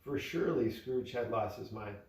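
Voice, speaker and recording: A man's voice: mid-range voice, moderate pace, darkish tone. A man narrating a storybook aloud as a father reading to his child. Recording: echoey room, distant from mic.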